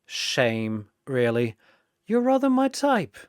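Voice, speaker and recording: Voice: medium pitch, huge pitch swings, slow pace, clean tone, no room sound, studio quality. A narrator voicing a suave, teasing male vampire rogue with a silky, amused, flirtatious manner in an audio story. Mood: sly flirting.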